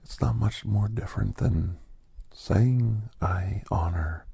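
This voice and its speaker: A man voicing a gentle, reflective doctor who tells an ASMR holiday story in a breathy, hushed whisper with deliberate pauses. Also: on the low side, no room sound, heavily acted. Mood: hushed, reverent. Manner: hushed, deliberate, with long pauses between short phrases. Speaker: narrator of an ASMR story podcast.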